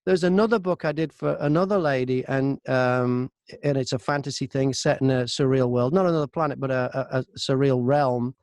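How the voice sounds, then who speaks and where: A man's voice: medium pitch, steady tone, brisk, few pauses, darkish tone, no echo. A British man, an audiobook narrator, speaking as an interview guest and explaining things conversationally with examples.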